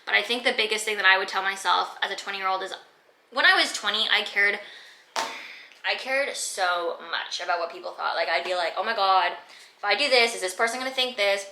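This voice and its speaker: A young woman in her mid-twenties, from Canada, runs through viewer questions in a relaxed vlog chat. Her voice is bright and forceful, and she leans on lots of casual filler words. The room around her sounds echoey. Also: mid-range voice, fairly quick, a little hiss or hum. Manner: chatty, many "like" fillers. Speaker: fitness vlogger.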